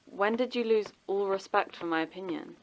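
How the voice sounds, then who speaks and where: A woman acting a caring but wounded friend, a schoolteacher, in an audio drama, gently persuasive and wry. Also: mid-range voice, moderate pace, highs cut off, lightly acted. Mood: hurt.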